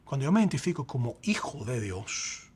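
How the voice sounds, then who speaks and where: A man, a pastor, explaining faith and identity in a lively conversational way, acting out quoted examples. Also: mid-range voice, brisk, husky.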